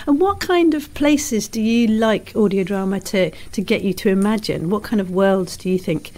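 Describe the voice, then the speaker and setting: A woman's voice: medium pitch, normal speed, mellow. A woman interviewing children in a casual, enthusiastic and encouraging way for a podcast.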